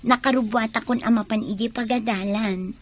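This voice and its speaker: An actress playing a coaxing young student who juggles two lovers in a radio drama, with an Ilocano accent. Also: female voice, on the high side, steady tone, normal speed, natural voice. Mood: defiant. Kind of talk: Filipino radio drama acting.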